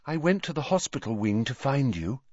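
A dreamy, eccentric schoolgirl played by a male reader speaks in a raised, airy pitch. She is guileless and vague, a storybook character heard on a slightly muffled recording. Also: very animated, fairly quick, darkish tone, no echo. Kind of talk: audiobook character voice.

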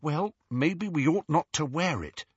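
Man voicing a high-pitched, bossy teenage witch girl. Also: lively intonation, unhurried, husky, no echo, muffled recording. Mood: conciliatory. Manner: impatient, insistent.

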